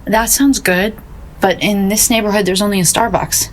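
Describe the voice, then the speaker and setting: An actress playing a gloomy, bewildered painter in a comic audio drama, hesitant and naively deadpan. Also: female voice, medium pitch, moderate pace, a little hiss or hum, natural voice, poor audio. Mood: dazed. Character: gloomy, bewildered, self-doubting woman painter.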